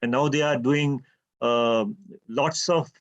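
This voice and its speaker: A courteous man speaks hesitantly in an online meeting, his voice at a middle pitch. The call audio sounds dull and lo-fi. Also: steady tone, slow pace. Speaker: meeting participant.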